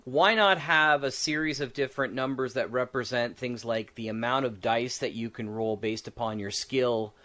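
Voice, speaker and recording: A man's voice: medium pitch, normal speed, hardly any pauses, sharp tone. A man chatting casually about game design as a podcast co-host, rambling and thinking aloud as he goes.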